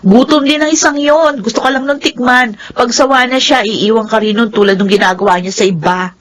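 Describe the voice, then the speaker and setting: A woman's voice: a little high, steady tone, fairly quick, lightly acted. A woman playing a worldly, brash young friend in a Filipino radio drama, with a frank and pushy way of talking. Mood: scornful warning.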